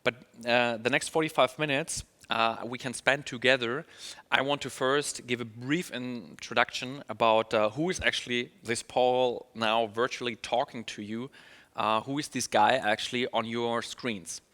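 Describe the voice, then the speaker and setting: German-accented male trainer, upbeat presenter, bright voice, reverberant hall sound. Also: mid-range voice, steady tone, moderate pace. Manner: upbeat, many fillers and restarts. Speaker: email marketing trainer. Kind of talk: online conference presentation.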